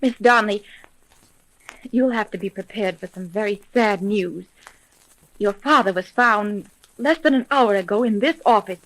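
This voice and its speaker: A woman acting a brisk, level-headed private detective in a 1930s radio serial, composed, earnest and businesslike. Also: fairly high pitch, a bit bright, poor audio.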